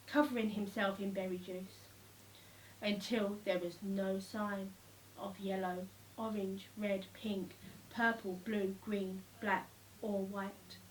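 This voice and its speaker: Expressive woman storyteller, slightly off-mic. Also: mid-range voice, slight background noise. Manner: expressive, deliberate phrasing with emphatic repetition. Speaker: children's storyteller. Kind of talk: children's storybook narration, read aloud. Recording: slightly distant microphone.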